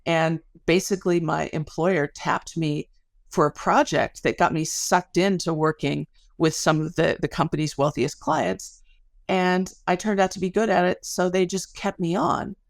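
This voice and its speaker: A woman's voice: fairly low pitch, fairly even intonation, unhurried, creaky, no room sound, clean studio sound. A middle-aged woman speaking as an academic guest in a podcast interview, explaining things articulately through stories and analogies.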